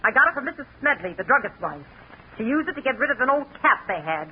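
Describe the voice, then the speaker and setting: An actress playing a nagging, whining, bitter wife in 1940s radio drama style. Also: female voice, sits high, sing-song, quick pace, slight background noise. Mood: nagging, peevish. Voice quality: whiny, husky. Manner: scolding, complaining. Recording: dull, lo-fi 1940s radio recording.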